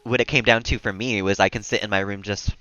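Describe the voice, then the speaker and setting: Calm, low-voiced man streaming games. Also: quick pace, no echo, muffled recording. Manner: calm, relaxed, rambling. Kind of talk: casual chat while gaming.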